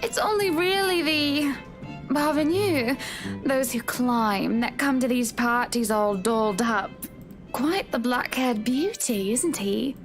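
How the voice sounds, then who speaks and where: An actress playing a haughty, teasing married society hostess in a visual novel, speaking in an arch, genteel manner. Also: female voice, high-pitched, takes it slowly. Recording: music behind the voice.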